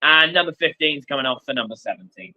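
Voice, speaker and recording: A man's voice: a little high, fast, bright, forceful tone, lo-fi sound. A man hosting a stream, chatting casually and loosely commentating on a football match, with plenty of fillers. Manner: laid-back, rambling, with many fillers.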